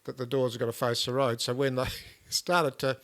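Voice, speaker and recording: Smooth-voiced man, easygoing ramble. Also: medium pitch, moderate pace. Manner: relaxed, rambling, with many fillers and restarts. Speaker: vlog host. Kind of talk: casual chat.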